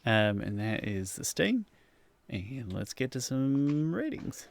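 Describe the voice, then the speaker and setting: A man's voice: medium pitch, big pitch swings, takes it slowly, vocal fry, no echo. A man hosting a podcast, chatting casually through a review in an informal, self-deprecating way and thinking aloud. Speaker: podcast host.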